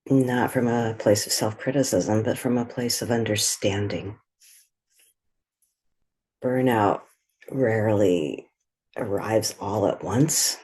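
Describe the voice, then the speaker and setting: A woman leading a guided meditation in a gentle, soothing way, with a soft, breathy voice and long pauses between short phrases. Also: a bass voice.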